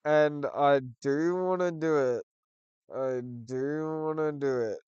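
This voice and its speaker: A sick man whose voice is starting to go talks slowly and hesitantly, rambling as he thinks aloud. His tone stays smooth and clear despite this. The recording is dry and a little dull. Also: a little high, a little mellow, no room sound. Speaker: gaming channel host. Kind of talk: casual chat to camera.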